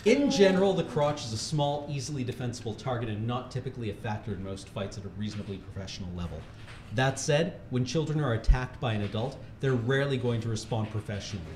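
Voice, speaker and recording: A man reads a comic how-to piece aloud with mock-serious authority. His voice sits at an average male pitch. The room is echoey, with faint noise behind him. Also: fast talker, a little mellow. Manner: mock-serious instructional delivery; often breaking into laughter. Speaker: reader at a charity livestream. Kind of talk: comedic reading of a humor article aloud.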